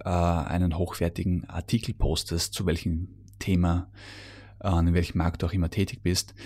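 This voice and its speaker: A man's voice: low-pitched, darkish tone, slightly rough. A man coaching viewers directly on content marketing, with many fillers and self-corrections, and English business terms mixed into his speech. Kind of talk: solo teaching monologue on content marketing.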